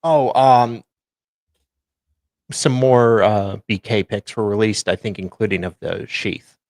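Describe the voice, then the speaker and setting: A co-host mixing dramatic reading of documents with casual chat in a deliberate, wry way. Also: medium pitch, darkish tone, no echo.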